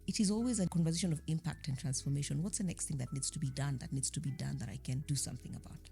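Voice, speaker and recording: A low-voiced woman speaks as a podcast guest in a reflective interview. She explains her points emphatically, often with rhetorical questions and illustrations. Music plays behind her. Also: very fast, vocal fry, dark tone. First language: French. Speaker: podcast guest, insurance chief executive.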